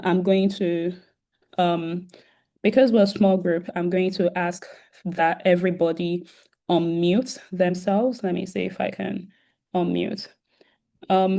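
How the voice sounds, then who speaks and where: Woman host, sore throat, lower register with some vocal fry, heavy "um" fillers, video call. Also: mellow. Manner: many "um" fillers, restarts, addresses students directly. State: sore throat, occasional coughing. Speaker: founder and host of a virtual career event. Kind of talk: casual welcoming talk on a video call.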